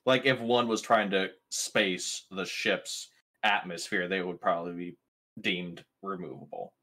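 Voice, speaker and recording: A man's voice: low voice, fairly even intonation, measured pace, bright, forceful tone. A man chatting casually as a player in a tabletop role-playing game, wry, joking and full of questions.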